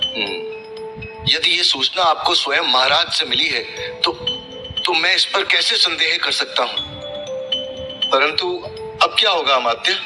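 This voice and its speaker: A man's voice: fairly high pitch, animated, unhurried, long pauses, over music. A man playing an anxious, loyal royal treasurer in a historical audio drama, hesitant and flustered. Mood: fearful, pleading.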